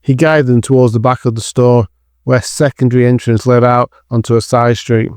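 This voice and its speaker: A man's voice: mid-range voice, steady tone, moderate pace, darkish tone, clear, resonant tone, dry recording, clean studio sound. A man reading scripted narration for an audio drama as its narrator.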